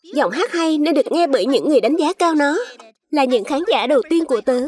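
High-pitched, showy little pineapple girl. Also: animated, brisk, darkish tone. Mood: proud, delighted. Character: vain, cheerful little girl pineapple who loves singing. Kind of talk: children's cartoon dub.

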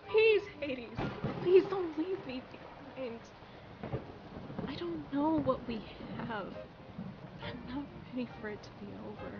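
A woman's voice: high voice, expressive, takes it slowly, dull audio, background noise. A woman voicing an insecure, jealous, hot-tempered nymph in an emotional webcomic dub. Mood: pleading. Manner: emotional, swinging from brooding to outbursts. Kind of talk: dramatic webcomic dub acting.

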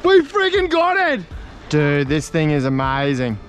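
A young man talks into an action camera on a rocky seaside, his high voice jumping into excited yells as he fishes. Music runs under the outdoor camera sound. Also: measured pace. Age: young adult. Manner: excitable, shouts when fish bite. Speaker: fishing vlogger. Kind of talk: casual outdoor fishing vlog. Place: outdoors on rocky island shore by the sea.

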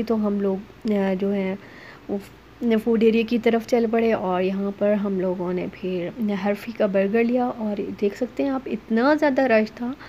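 Mom doing a casual vlog voiceover, average pitch, pauses a lot, noisy background. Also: female voice, mellow, muffled recording. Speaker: family vlogger and mother. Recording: noisy ambient background behind voice.

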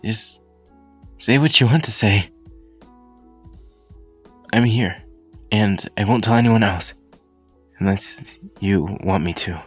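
Anime ASMR roleplay: shy, kind teenage hero boy, played by a man, unhurried, stammering and apologetic, music underneath. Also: fairly low pitch. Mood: gentle, reassuring. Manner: hesitant, halting, apologetic, with stammers and pauses.

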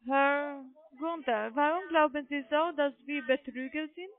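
Woman, high voice, foreign accent, non-native halting phrasing, call centre agent, insistent, narrowband phone sound. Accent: foreign accent in German. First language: not German. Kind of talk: scripted cold-call sales pitch over the phone. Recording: tinny narrowband phone line.

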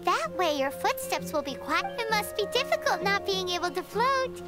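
A woman's voice: extremely high pitch, sing-song, moderate pace, loud music behind the voice. A woman voicing a tiny, chirpy, childlike floating fairy companion in a video game. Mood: instructive.

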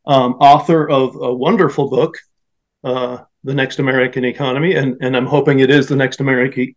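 A middle-aged man hosting an interview podcast, talking in a friendly, upbeat way from a home office. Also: mid-range voice. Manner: friendly, upbeat, with fillers and restarts. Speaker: podcast host. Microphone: podcast mic on a video call. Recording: slightly dull, lo-fi sound.

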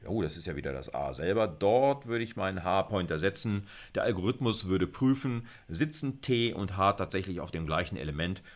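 A man's voice: low voice, animated, normal speed, few pauses. A middle-aged man giving an informal recorded lecture, thinking aloud and putting questions to himself as he explains. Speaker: university computer science lecturer.

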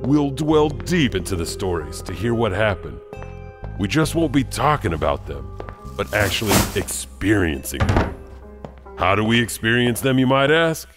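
Actor as a swooping, creepily friendly janitor storyteller. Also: male voice, fairly low pitch, very animated, normal speed, music in the background. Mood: eager storytelling. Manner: rambling, folksy, darkly playful. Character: chatty, eerie, friendly male janitor storyteller. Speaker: host. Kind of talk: theatrical horror anthology narration.

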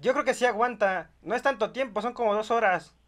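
A man's voice: high voice, fairly quick, fairly bright tone. A young man playing an insistent, grumbling older rancher in a prank call, pleading in a hesitant, folksy, repetitive way. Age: young adult. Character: insistent rural rancher, older man, stubborn, grumbling, attached to his donkey. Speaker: prank caller. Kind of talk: improvised prank phone call. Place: small bedroom studio, indoors.

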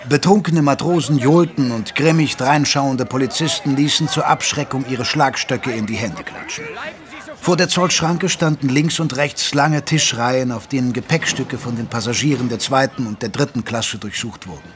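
An actor playing an observant gentleman companion who narrates a detective audio drama in a literary, measured style. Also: mid-range voice, noisy background, natural voice. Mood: narrating.

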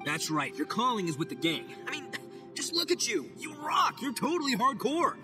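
A man voicing a boisterous young male oni gang boss in a video game, talking in loud, slangy bursts. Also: extremely high pitch, very animated, normal speed, over music.

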